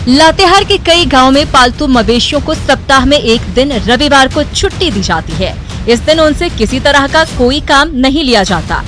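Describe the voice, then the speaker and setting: A woman's voice: high-pitched, lively intonation, moderate pace, few pauses. A woman narrating scripted news reports as a broadcast voice-over. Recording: news music behind. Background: background music.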